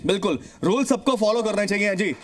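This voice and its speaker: A man's voice: sits high, fairly quick. A man moderating a live television debate.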